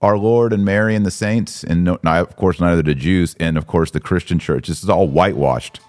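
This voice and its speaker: A man's voice: low-pitched, brisk, a little mellow. A man hosting a podcast, giving religious commentary in a casual conversational style with an emphatic, indignant manner. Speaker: podcast host.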